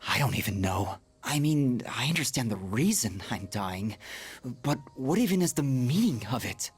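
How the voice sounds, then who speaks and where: A man voicing a frail, dying young man in a video game, weary, breathy and halting, with melancholy resignation. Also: medium pitch, sing-song. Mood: bitter, sorrowful. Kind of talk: English video game dub acting, dramatic monologue.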